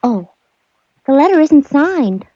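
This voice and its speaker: A naive young secretary from vintage radio drama, with a high, questioning voice. She sounds wide-eyed and worried on a dull old recording. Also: big pitch swings, slow pace, dark tone, dry recording, full character voice. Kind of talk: 1940s radio drama acting.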